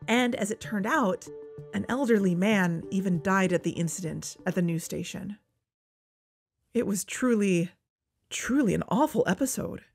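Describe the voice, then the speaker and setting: A woman's voice: mid-range voice, normal speed, no room sound, natural voice. An actress as an earnest woman investigative podcaster, with a mid-range voice over faint music. Her scripted narration is serious and conversational. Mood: earnest, urgent. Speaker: host. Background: background music.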